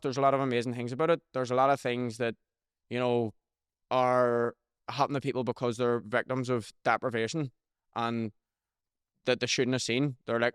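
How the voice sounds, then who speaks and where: A man's voice: medium pitch, smooth, no echo, studio quality. A young man with a Belfast accent chatting as a podcast guest, swearing freely and often checking "do you know what I mean". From Northern Ireland. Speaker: rapper guest. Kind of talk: casual podcast chat.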